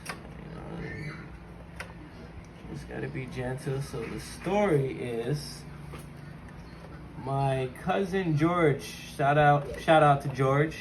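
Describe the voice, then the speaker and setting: A man's voice: mid-range voice, slow pace, long pauses, noisy background. A man hosting his own online video, chatting informally and slangily straight to viewers as he explains things and tells stories. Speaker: YouTube vlogger and channel host. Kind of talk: casual hands-on tech tutorial with personal storytelling.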